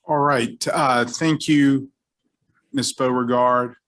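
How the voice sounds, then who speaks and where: A man's voice: medium pitch, fairly even intonation, slow pace, fairly bright tone. A man who is the city attorney, briefing a city council on legal rules and walking through hypothetical examples. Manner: explanatory, uses hypothetical examples. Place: council chamber.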